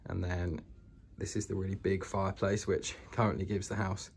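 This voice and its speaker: Low-voiced British man giving a house tour. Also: level tone, normal speed, vocal fry, breathy edge. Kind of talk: casual walk-through narration. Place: inside an old house, walking between rooms. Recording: noisy indoor handheld home recording.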